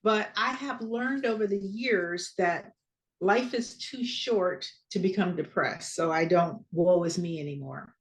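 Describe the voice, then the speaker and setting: A woman's voice: fairly low pitch, measured pace, rough recording. A woman speaking candidly on a video call from home. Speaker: patient sharing her story. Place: home room. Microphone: video call webcam.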